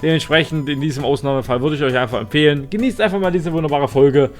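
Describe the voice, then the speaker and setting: A German man with a somewhat high voice hosts a let's play. He talks casually and haltingly, slipping into theatrical announcer flourishes. Music plays softly behind him. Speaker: host of a gaming channel.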